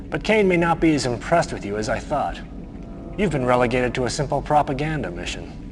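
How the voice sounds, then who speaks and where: An actor as a smug, scheming military officer, animated delivery. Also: male voice, mid-range voice, lightly acted. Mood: smug, mocking. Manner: smug, sardonic, conspiratorial. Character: scheming, ambitious male military lieutenant of a villainous brotherhood. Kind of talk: video game cutscene acting, mission briefing.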